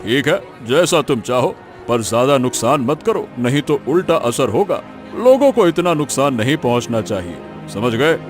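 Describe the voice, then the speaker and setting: A man's voice: mid-range voice, sing-song, moderate pace, faint music behind, natural voice. A man playing a hesitant, easily swayed village headman in a cartoon, folksy and mildly cautious. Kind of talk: Hindi cartoon moral story acting.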